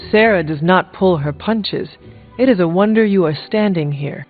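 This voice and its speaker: A woman voicing a stern but curious resurrected warrior in a video game, speaking in a formal, measured, dry way. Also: on the low side, close to an everyday voice. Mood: dry, serious. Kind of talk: scripted video game dialogue. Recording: thin phone-like band, music behind.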